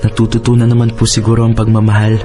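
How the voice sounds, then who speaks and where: A man's voice: on the low side, completely flat delivery, quick pace, mellow, close to an everyday voice. A man with a Filipino accent playing a deferential, troubled younger brother in a radio drama, hesitant and pleading. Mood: uneasy, resigned. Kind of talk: Tagalog radio drama acting. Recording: music bed under voice.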